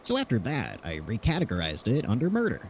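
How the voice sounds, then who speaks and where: A man in a podfic audio drama playing an eager, sheepish inventor who rambles haltingly as he explains his grim work. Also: medium pitch, huge pitch swings, slight background noise. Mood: sheepish, rambling. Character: eager rambling inventor cheerfully cataloguing fears, sheepish about deaths.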